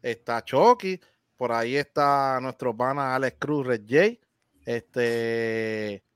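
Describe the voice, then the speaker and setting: A man's voice: mid-range voice, slow, clean tone, no echo, clean studio sound. A man co-hosting a casual discussion, speaking politely with hesitant fillers.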